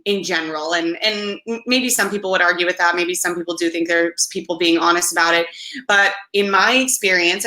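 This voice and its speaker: Slightly hoarse, bright, upbeat young woman. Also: lowish voice, echoey room, low-quality recording. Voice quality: raspy. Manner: enthusiastic, frequent laughs, encouraging. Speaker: host of a weekly live business show. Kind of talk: upbeat livestream talk.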